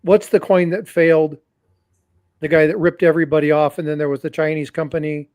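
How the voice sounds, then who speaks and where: A man's voice: a little high, level tone, brisk, a little mellow, dry recording. A man hosting a livestream, talking casually and cautioning his audience, often repeating phrases and addressing them directly. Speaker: host. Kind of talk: livestream commentary.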